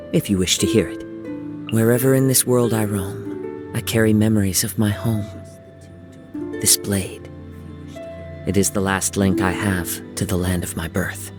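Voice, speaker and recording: A man voicing a gentle young wandering samurai in a video game, soft-spoken and reflective, with lyrical, poetic phrasing. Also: on the low side, lightly acted. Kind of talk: video game character voice lines. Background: music.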